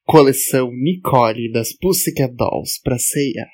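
A man's voice: medium pitch, a little slow, a little mellow. Matter-of-fact man. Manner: short, matter-of-fact interjections. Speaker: podcast co-host. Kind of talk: casual chat.

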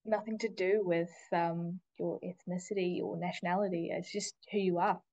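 A woman who is a teacher, a guest on a podcast, speaking casually and thoughtfully with many pauses and fillers. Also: fairly low pitch, fairly even intonation, a little mellow, dry recording, lo-fi sound. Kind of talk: casual interview chat.

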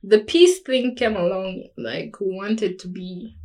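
A young woman speaks at a middling pitch. She is a guest on a podcast and answers in casual, conversational English. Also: takes it slowly, darkish tone. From South Sudan. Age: young adult. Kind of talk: casual chat.

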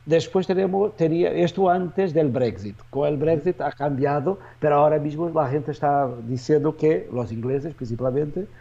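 Interview voice: Portuguese-accented man, dark muffled tone, halting second-language answers. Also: a little high, vocal fry. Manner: halting, with many restarts and fillers. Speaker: real estate agent.

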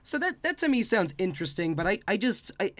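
A man in his twenties co-hosting a podcast, loud and excitable in casual chat, swearing often. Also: sits high, fairly quick, no room sound. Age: 20s. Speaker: podcast co-host.

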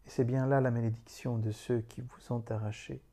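A man reciting a solemn poem in a grave, meditative manner, leaving long pauses between short phrases. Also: medium pitch, little pitch movement, warm tone. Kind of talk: solemn spoken poetry recitation.

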